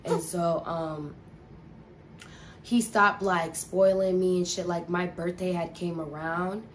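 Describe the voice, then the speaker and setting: A woman's voice: fairly low pitch, steady tone, slow pace, slight background noise. A young woman telling a casual storytime, rambling and profane with lots of filler words. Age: young adult. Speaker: vlogger.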